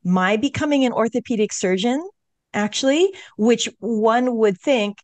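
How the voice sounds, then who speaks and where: A woman's voice: fairly high pitch, unhurried, no room sound. Fields: woman, encouraging podcast co-host. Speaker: podcast co-host, orthopedic surgeon. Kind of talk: casual supportive chat. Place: home studio. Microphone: studio mic on a video call.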